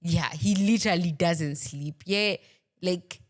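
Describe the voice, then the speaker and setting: A woman's voice: fairly low pitch, slow. A woman with a Kenyan accent co-hosting a casual football podcast, playful and giggly, mixing English with Swahili slang. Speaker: podcast co-host.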